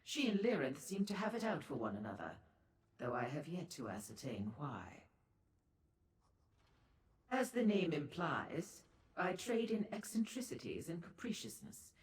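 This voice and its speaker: A woman voicing a seductive, worldly female Daedra merchant in a video game, with a coy, theatrical, condescending manner and ornate formal phrasing. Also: fairly low pitch. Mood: coy, teasing. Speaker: information broker and proprietor. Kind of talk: video game character dialogue. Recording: echoey hall-like reverb on the voice.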